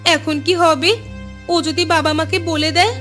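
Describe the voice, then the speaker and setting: Woman as a high-voiced, timid young daughter. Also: steady tone, measured pace, fairly bright tone. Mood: anxious. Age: young adult. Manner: hesitant, faltering. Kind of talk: cartoon voice acting. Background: background music.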